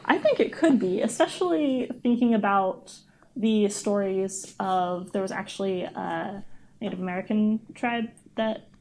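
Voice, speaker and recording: A woman's voice: medium pitch, unhurried, a little mellow, a little hiss or hum, low-quality recording. A woman co-hosting a podcast, telling stories in casual, informal chat and joking along.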